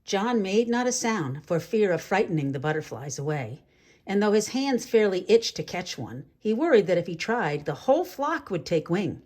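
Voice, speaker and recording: A woman reading a children's story aloud in an expressive, deliberate way with dramatic phrasing. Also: medium pitch. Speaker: storybook reader. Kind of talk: read-aloud children's story narration with asides.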